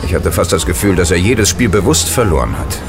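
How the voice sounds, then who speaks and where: Man acting a low-voiced, easygoing gambler over music. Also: animated, fairly quick. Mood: ominous. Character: easygoing, superstitious casino gambler, male, worldly regular. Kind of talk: German audio drama dialogue.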